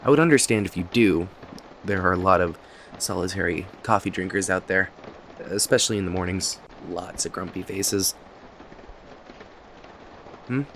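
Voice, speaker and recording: Low-voiced, rambling friendly barista played by a man. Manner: rambling, hesitant, apologetic, friendly. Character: talkative, kindly young male cafe owner and barista. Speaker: voice actor in an audio roleplay. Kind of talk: ASMR roleplay, conversational acting to the listener. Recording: steady rain noise behind voice. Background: rain and cafe ambience.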